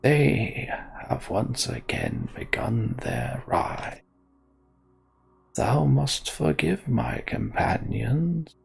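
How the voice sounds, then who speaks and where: A man voicing a solemn old scholar creature from a fantasy game, speaking in slow, archaic, formal phrases. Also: medium pitch, big pitch swings, gravelly, husky, soft background music, exaggerated voice. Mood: solemn, courtly. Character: courtly old male Tonberry scholar, solemn ghostly creature. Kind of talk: voiced role-play of game dialogue.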